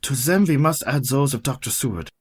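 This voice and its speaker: Older learned vampire hunter, grave, formal, deliberate delivery, medium pitch, radio play. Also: male voice, expressive, slightly rough. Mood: persuasive, courteous.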